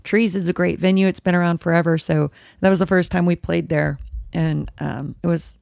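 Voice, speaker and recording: A woman hosting her own casual podcast, a little hoarse, chatty and rambling as she interrupts herself. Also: fairly even intonation, normal speed, darkish tone, no room sound, studio quality. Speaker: podcast host. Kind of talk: casual solo podcast chat, live-streamed. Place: home room with dogs. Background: dogs and a squeaky toy at times.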